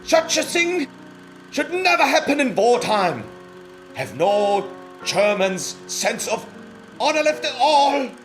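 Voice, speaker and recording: A man's voice: very high voice, sing-song, slow pace, soft background music, full character voice. A man voicing a stern, indignant German soldier in a declamatory war cartoon style. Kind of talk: dramatic war cartoon acting.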